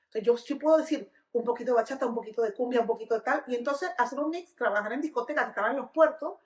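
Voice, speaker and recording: A woman from Venezuela, a dance educator and DJ, telling stories in a lively, emphatic podcast chat. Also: fairly high pitch, racing, roomy sound. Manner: lively, emphatic storytelling with sung snippets. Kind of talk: casual podcast conversation.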